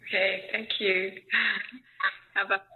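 A woman's voice: medium pitch, level tone, slow pace. A woman hosting a casual group chat, speaking hesitantly with light laughs between turns. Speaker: host. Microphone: online call. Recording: tinny phone-like line.

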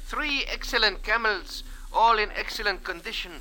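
Deferential little Egyptian camel man, high-pitched, piercing sharp tone, florid and superstitious, old radio drama. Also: moderate pace, poor audio. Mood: ingratiating. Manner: florid, formal, flattering speech. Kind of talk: 1940s radio acting. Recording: vintage radio drama recording.

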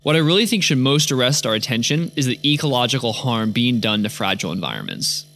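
Calm man narrating a podcast. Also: mid-range voice. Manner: calm, measured delivery. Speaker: podcast host.

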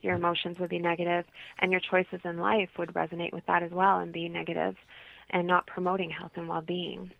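A woman's voice: lowish voice, monotone, a little fast, gravelly, no echo. A woman explaining things informally as a guest in a radio interview, heard in dull lo-fi audio.

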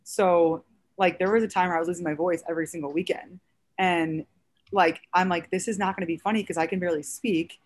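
Raspy woman, around thirty, slightly low voice, chatty comedian, filler-heavy storytelling. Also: steady tone, a little fast. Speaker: stand-up comedian, podcast guest. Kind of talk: casual podcast chat.

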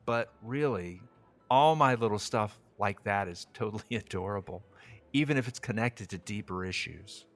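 A man's voice: lowish voice, animated, moderate pace. An actor playing an earnest, quirky, self-doubting young man anxious about a date in an audio drama. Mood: quirky, flustered.

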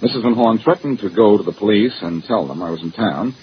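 An actor playing a cocky, wisecracking amateur detective in 1940s radio style, glib, sarcastic and teasing. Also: male voice, lowish voice, animated, fast, natural voice. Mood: teasing, smug. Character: cocky, wisecracking amateur detective man, needling a police inspector. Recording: muffled, hissy old-time radio recording.

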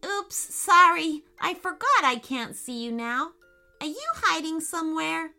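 Excitable earnest little schoolgirl, very high and bright, storybook kid, woman putting her on. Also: unhurried, vocal fry, soft background music, exaggerated voice. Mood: flustered. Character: eager curious young schoolgirl.

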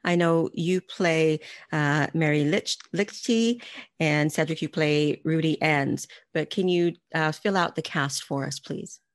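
Female host, deep-pitched for a woman, relaxed interview questioning, no room echo. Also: a little slow, studio quality. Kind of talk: conversational interview.

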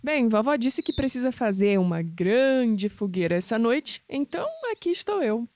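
A woman's voice: a little high, animated, normal speed, a little mellow, natural voice. An actress with a Brazilian accent playing an independent, skeptical, wry young woman in an audio drama. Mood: amused, proud. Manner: wry, questioning.

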